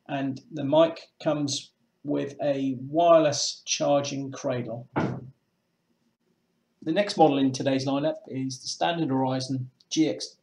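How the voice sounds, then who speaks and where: A man's voice: medium pitch, gravelly, a little mellow. A middle-aged man presenting a product demonstration in a matter-of-fact, explanatory way. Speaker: presenter of a boating shop's product video. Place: small indoor room with painted block walls. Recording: echoey small room.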